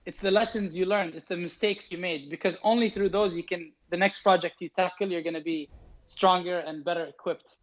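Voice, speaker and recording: A man's voice: sits high, fairly even intonation, brisk, highs cut off. A young man whose first language is Arabic, answering interview questions thoughtfully, often restarting and repeating words as he explains. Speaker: show founder and host, interviewed as guest.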